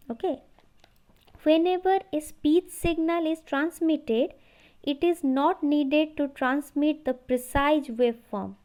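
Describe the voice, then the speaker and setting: A woman lecturer explaining technical theory from slides in a measured, instructional way. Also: sits high, a little mellow.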